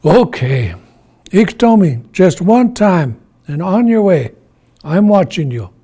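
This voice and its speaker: A man's voice: a little high, moderate pace, warm tone, natural voice. A man voicing a stern, protective old grandfather in an oral folktale, firm and admonishing. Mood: grudging. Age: older.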